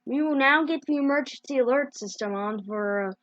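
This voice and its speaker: A woman improvising mock emergency news bulletins as an amateur announcer, with halting delivery and mid-word corrections. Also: sits high, a bit bright, no room sound, dull audio.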